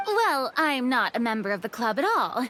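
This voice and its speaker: A woman voicing a playful, theatrical young lady in a video game, expressive, breezy and frank. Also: on the high side, moderate pace. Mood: breezy, candid. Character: theatrical, candid young female former superior, playful. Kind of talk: video game character dialogue. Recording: music playing behind the voice.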